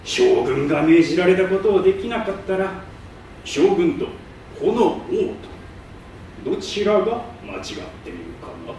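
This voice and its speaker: Staged reading: grandiose old monarch, high-pitched and imperious, theatrical delivery, recorded far off in the hall. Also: male voice, very animated, moderate pace, darkish tone. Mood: lofty, reasoning. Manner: pompous, imperious, archaic old-man speech. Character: pompous old king ruling a tiny asteroid. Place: public hall stage, distant microphone. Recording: echoey hall from far off, faint noise.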